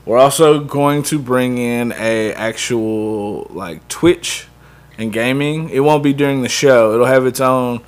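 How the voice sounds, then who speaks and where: A man's voice: mid-range voice, slow pace. A man co-hosting a casual podcast, laid-back and laughing often as he talks through plans. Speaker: podcast co-host. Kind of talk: casual banter.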